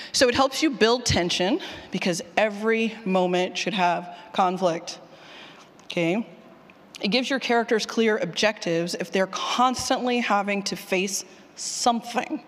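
A woman giving an informal, emphatic talk to an audience, colloquial and a little sweary, often putting questions to the room. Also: medium pitch. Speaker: speaker leading a workshop on audio drama writing. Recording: long hall reverb from a large room.

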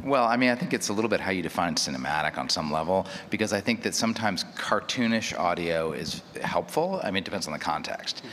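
Low-voiced man on a hall panel. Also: lively intonation, fast talker, few pauses, fairly bright tone. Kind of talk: unscripted panel discussion. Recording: hall reverb, faint noise.

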